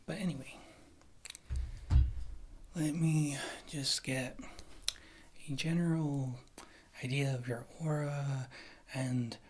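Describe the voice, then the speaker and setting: A performer playing a wry, condescending witch healer in a whispered ASMR fantasy roleplay, with a soft, breathy voice and a halting delivery. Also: medium pitch, a little hiss or hum, natural voice. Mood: calm, guarded. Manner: slow, halting, dryly disdainful.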